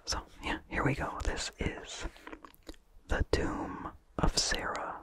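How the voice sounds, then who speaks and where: An ASMR host reading in a breathy, unvoiced whisper, slowly and calmly, with careful enunciation. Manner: slow, calm, careful enunciation. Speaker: ASMR host and story reader.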